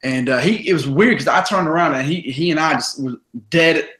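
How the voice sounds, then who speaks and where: Raspy young man hosting a podcast. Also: fairly high pitch, a little fast. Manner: energetic, chatty storytelling with many fillers. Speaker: podcast host. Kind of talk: casual sports podcast chat.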